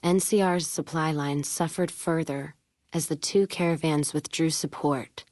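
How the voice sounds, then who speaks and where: A woman's voice: sits low, fairly even intonation, a little slow, a little mellow, dry recording, studio quality. An actress playing a blunt, sardonic, foul-mouthed caravan woman from a wasteland video game. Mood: somber. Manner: blunt, sardonic, drawling tough talk. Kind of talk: video game character dialogue and epilogue narration.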